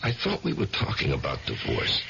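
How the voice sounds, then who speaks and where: An actor playing a wary, sardonic lover with clipped hard-boiled delivery in a 1970s radio drama. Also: male voice, rumbling low, wildly swooping pitch, normal speed, vocal fry, sharp tone, slight background noise, exaggerated voice. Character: wary, sardonic lover tempted into a murder plot. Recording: dull old radio broadcast.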